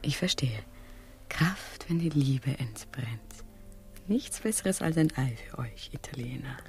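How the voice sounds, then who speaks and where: A woman playing a coquettish, theatrically passionate Spanish queen in a comic radio play, breathy and suggestive. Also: rumbling low, animated, a little slow, soft background music, full character voice. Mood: amorous.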